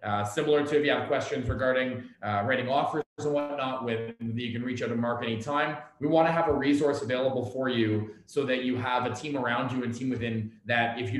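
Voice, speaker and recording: Man speaking in a very echoey room. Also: mid-range voice. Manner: earnest, repeats words, restarts often. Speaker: office staff presenting an update. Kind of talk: casual meeting presentation. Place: office meeting room. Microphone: laptop camera on video call, seated back from it.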